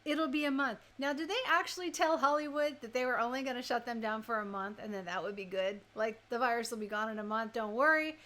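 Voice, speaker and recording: A woman with a somewhat high voice hosts a live radio show. She talks casually and chattily, addressing her listeners directly as if in conversation with them. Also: fast talker, hardly any pauses, sharp tone. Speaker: radio DJ and host.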